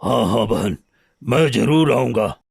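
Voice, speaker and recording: A man voicing a noble, wounded but forgiving lion in a cartoon moral story, speaking in solemn, heartfelt phrases with a gravelly, husky voice. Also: mid-range voice, animated, measured pace, a little mellow, dry recording, studio quality, heavily acted. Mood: forgiving, moved. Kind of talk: animated moral story dialogue.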